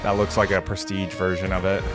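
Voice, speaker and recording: A man's voice: sits low, flat delivery, fairly quick, over music. A man hosting a reaction video, commenting casually and informally as he thinks aloud.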